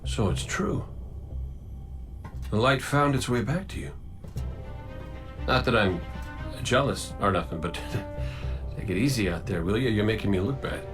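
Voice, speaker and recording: An actor playing a cocky, wisecracking robot gunslinger in a video game cutscene, talking in a flippant, theatrical ramble. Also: male voice, fairly low pitch, animated, unhurried, long pauses, over music. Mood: wry, subdued.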